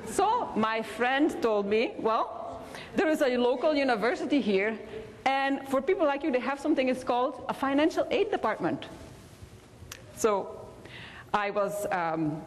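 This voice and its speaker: A woman's voice: high voice, very animated, a little slow. A woman from Belgium with a Belgian accent, telling personal stories as a convention speaker, with small hesitations. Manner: warm anecdotal storytelling with hesitations. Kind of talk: motivational keynote storytelling. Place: large convention hall. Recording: reverberant convention hall.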